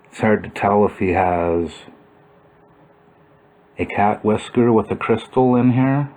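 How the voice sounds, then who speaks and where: Male narrator, deep pitch, informal explainer commentary, musing, thinking aloud. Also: slow pace, darkish tone. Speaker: video narrator. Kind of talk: informal explanatory commentary over old photos.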